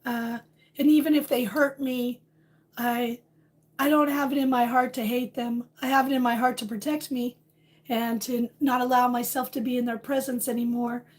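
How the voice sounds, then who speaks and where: A middle-aged woman with a hoarse voice, chatting casually on a livestream and greeting viewers by name. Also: a little high, flat delivery, normal speed. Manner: warm, rambling, reads out viewer names. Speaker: livestream host. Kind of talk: casual live chat with viewers.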